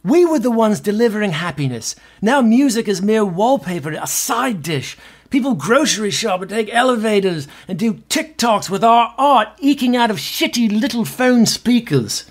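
A man's voice: high voice, lively intonation, moderate pace. An actor playing a vain, washed-up middle-aged male pop star in a comedy podcast, with a theatrical, pompous delivery. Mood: indignant. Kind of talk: audio comedy acting.

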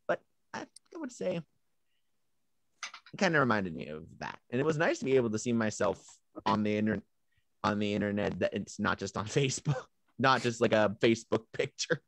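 A man's voice: on the low side, sing-song, brisk, gravelly, no echo. A young man reflecting casually as a guest actor on a video call. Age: young adult. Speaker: amateur actor, panel guest.

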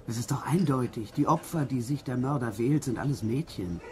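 Snooty blunt nurse in a radio mystery, easily appalled, indignant way of talking. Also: female voice, rumbling low, fairly quick, dark tone, a little hiss or hum. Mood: blunt, wary. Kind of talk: German mystery radio play acting.

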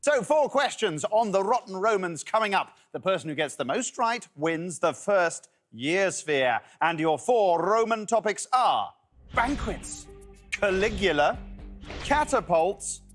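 An upbeat man in his fifties hosts a children's quiz on a lapel mic in a studio. His voice is fairly high and bounces around lively, with light music behind. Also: unhurried. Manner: upbeat, jokey, exasperated. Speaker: game show host. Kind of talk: children's game show hosting.

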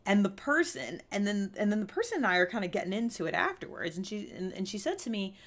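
A woman's voice: medium pitch, very animated, fast talker, a bit bright. A woman in her thirties giving a candid self-help talk to camera, earnest and emphatic, often checking in with the listener. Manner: earnest, conversational, emphatic with frequent check-in questions. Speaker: vlog host. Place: small indoor room with fabric backdrop.

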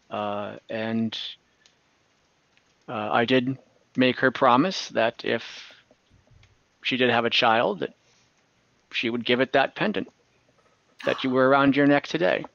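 A man acts out a rueful older male elf in a tabletop roleplaying game. He talks slowly and haltingly, earnest as he confesses his past. The sound is close and dry, but a little dull, like an online call. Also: mid-range voice, creaky, fairly bright tone, no echo. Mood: earnest, confessing. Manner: hesitant, halting, earnest storytelling. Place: indoors on a group video call.

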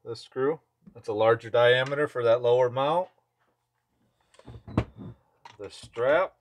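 A man's voice: mid-range voice, normal speed. A man giving a casual hands-on workshop demonstration as a mechanic, speaking offhandedly in short phrases while he works. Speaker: chainsaw mechanic and video host. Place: indoor workshop bench. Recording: clear background noise, clicking tool handling.

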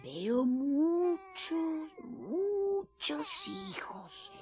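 A woman's voice: extremely high pitch, expressive, a little mellow, exaggerated voice. An actress playing a wrinkled old village fortune-teller in a radio drama, theatrical, drawing out her exclamations and repeating herself. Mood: solemn, teasing. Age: older. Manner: theatrical, drawn-out exclamations and repetitions.

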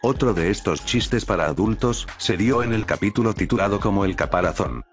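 Low-voiced man narrating over music. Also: animated, a little fast. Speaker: narrator of a top list video. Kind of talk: scripted narration. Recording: music behind the voice. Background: background music.

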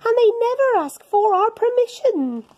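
Little grumpy, excitable alien for a picture book, high swooping exclamations, female reader. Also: moderate pace, muffled recording, slight background noise. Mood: fed up, complaining. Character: little green Martians, grumpy, fed up, excitable.

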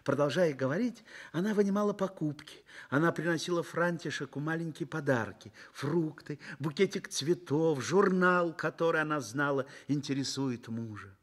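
A man reading scripted literary narration for a Soviet radio drama in an expressive, measured storytelling manner. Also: a little high.

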